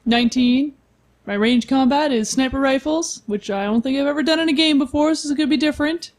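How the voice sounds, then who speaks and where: A woman's voice: high voice, a little fast. Playful woman chatting at a game table. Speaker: tabletop game player on a podcast. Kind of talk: casual chat describing a game character.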